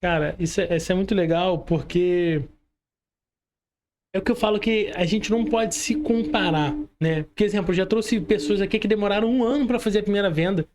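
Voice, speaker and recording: A man's voice: sits high, fairly quick, a little mellow. A Brazilian man hosting a casual interview in a colloquial way, dropping in frequent check-in tags. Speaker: podcast host.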